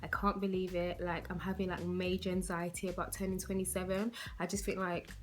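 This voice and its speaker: A woman's voice: fairly low pitch, little pitch movement, fairly quick. Chatty young London woman. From London, England. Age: 20s. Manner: rambling, lots of "like" and "you know". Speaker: beauty vlogger. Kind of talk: casual chatty get-to-know-me talk.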